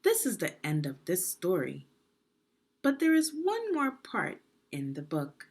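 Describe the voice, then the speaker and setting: A woman reading a children's storybook aloud expressively, in a deliberate, teacherly manner. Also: fairly low pitch, huge pitch swings, husky. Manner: deliberate, teacherly, asks the listener questions. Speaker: education consultant reading a children's book.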